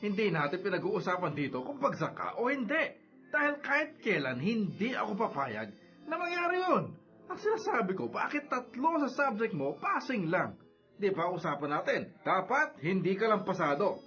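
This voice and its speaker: A man's voice: sits high, sing-song, normal speed, pushed and bright, muffled recording, soft background music, heavily acted. A man with a Filipino accent playing a stern, demanding middle-aged father in a radio drama, nagging with heavy emphasis. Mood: stern, exasperated.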